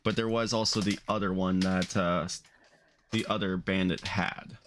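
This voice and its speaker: A man's voice: low voice, steady tone, moderate pace, a bit bright, a little hiss or hum. A man acting as game master, casually improvising rulings and narration for a tabletop game on an online call. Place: online group call, streamed live.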